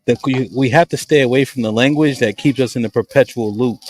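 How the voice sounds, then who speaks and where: A man's voice: medium pitch, fairly quick, a little mellow, slight background noise. A man hosting a casual group conversation, cutting in to steer it and make his point. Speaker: host.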